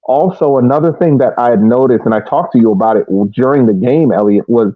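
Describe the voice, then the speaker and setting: A man's voice: medium pitch, no room sound. Man on a thin, phone-like line, analysing football. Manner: halting, repeats and restarts phrases while building detailed points. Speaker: podcast co-host. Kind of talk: casual football match analysis. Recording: thin phone-like band-limited audio.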